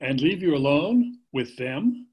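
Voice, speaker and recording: A man's voice: on the high side, sing-song, unhurried, a little mellow, husky, exaggerated voice, rough recording. A middle-aged actor playing a dad who gets defensive and indignant about his past, on a video call.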